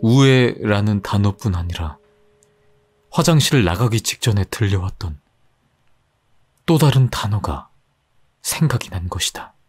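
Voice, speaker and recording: Slow suspenseful male horror narrator. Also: on the low side, animated, a little mellow, slightly rough, faint music behind. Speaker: storyteller.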